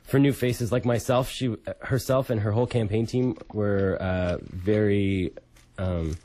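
A man's voice: fairly low pitch, fairly even intonation, a little slow, dark tone. A young adult man from Canada speaking as a radio show host in a studio. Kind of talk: casual radio talk and dramatic reading.

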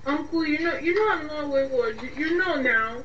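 Very high-pitched pleading young niece. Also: female voice, expressive, moderate pace. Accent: Nigerian. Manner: pleading, flustered, stammering. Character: anxious young Nigerian student niece, pleading, tearfully defensive. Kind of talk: radio drama acting. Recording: dull, echoey, distant, slightly noisy.

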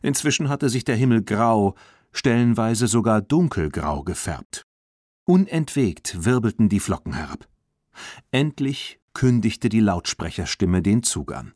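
A male audiobook narrator with a fairly low voice tells a children's story. His reading is scripted and expressive, recorded very dry with no room sound. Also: measured pace, no echo.

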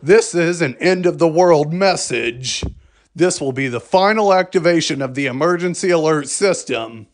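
A man reading a scripted emergency alert broadcast in a formal, measured manner. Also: on the high side, expressive.

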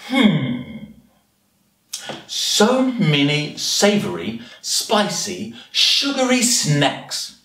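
A hungry, scheming storybook anteater, acted out by a man, relishes every word with theatrical fuss. The delivery swings wildly in pitch and is bright and forceful, recorded a little distantly in a room. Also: full character voice. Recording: roomy, slightly distant home recording.

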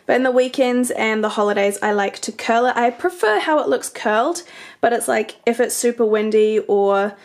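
A woman's voice: fairly high pitch, moderate pace. Chatty New Zealand woman with a cold. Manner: chatty, relaxed, upbeat. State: hoarse and congested from a cold. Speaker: fashion vlogger and teacher. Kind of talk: casual outfit vlog. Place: bedroom with a mirror, indoors. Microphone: camera's built-in mic, filmed in the mirror.

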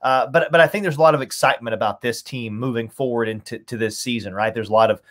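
Mid-pitched man giving sports analysis. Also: fast talker, a bit bright. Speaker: podcast guest, radio play-by-play announcer. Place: small home room, indoors.

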